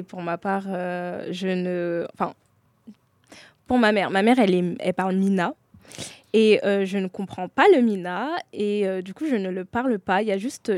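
A woman's voice: mid-range voice, a little mellow, no echo. A young woman chatting casually and telling personal anecdotes in a conversational way.

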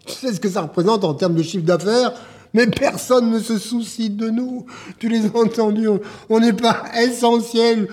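An actor playing a bitter, despairing man of the jazz club world in a drama, husky, sarcastic and theatrical. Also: medium pitch, lively intonation, darkish tone. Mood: angry, despairing. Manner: sarcastic, theatrical, halting bursts. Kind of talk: naturalistic drama dialogue.

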